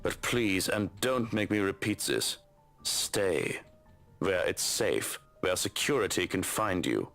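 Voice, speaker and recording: A man voicing a stern, protective butler in a game story, speaking formally and with measured firmness. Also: sits low, lively intonation, gravelly, a bit bright, exaggerated voice. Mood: stern pleading. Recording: music under the voice.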